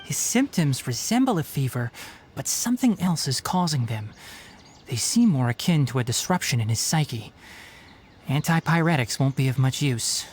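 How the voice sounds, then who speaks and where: A man voicing a brisk, no-nonsense young forest watcher and healer in a video game. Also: medium pitch, expressive, a crowd in the background, lightly acted. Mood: explanatory, serious.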